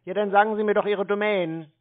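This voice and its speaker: A man's voice: sits high, steady tone, a little fast. A man arguing over the phone in a blunt, insistent, scolding way. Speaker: scam-baiting caller. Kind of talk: confrontational phone argument. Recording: tinny narrow phone line.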